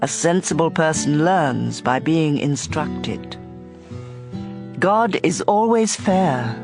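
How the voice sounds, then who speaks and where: A narrator reads scripture for a dramatized audio Bible. The delivery is slow and measured, at a middling pitch. Instrumental music is clearly heard behind the voice. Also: animated. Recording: music bed under voice.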